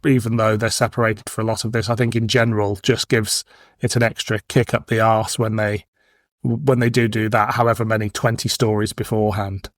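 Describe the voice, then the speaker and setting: A man's voice: fairly low pitch, little pitch movement, a little fast, dry recording, studio quality. A man co-hosting a podcast, discussing casually and putting questions to his co-host.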